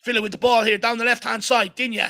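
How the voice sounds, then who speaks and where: A hoarse Irish man hosting a fan watchalong, giving excited live commentary and urging the players on. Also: very high voice, fast talker. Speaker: fan channel host. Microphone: home desk mic.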